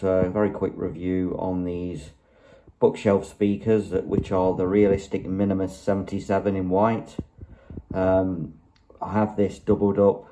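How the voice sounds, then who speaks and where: Flat, low-key man reviewing gear. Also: a little hiss or hum. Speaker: video channel host, product reviewer. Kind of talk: casual unscripted product review. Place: small room indoors. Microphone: phone microphone, held behind the camera.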